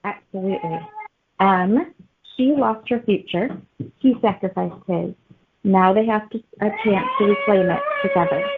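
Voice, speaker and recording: A woman author answering interview questions conversationally, pausing often in the middle of phrases. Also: medium pitch, big pitch swings, breathy edge. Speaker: author guest. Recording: muffled phone-like narrow-band audio.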